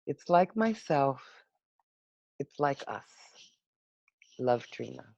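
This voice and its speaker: A woman reading a children's storybook aloud in an expressive, unhurried manner with dramatic pauses, over a video call. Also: extremely low pitch, creaky, no room sound. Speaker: storybook reader. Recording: muffled, lo-fi video call audio.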